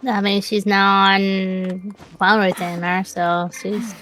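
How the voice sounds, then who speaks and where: A woman's voice: mid-range voice, flat delivery, slow, a bit bright, soft background music. A woman co-hosting a game stream in casual chat, agreeable and laid-back.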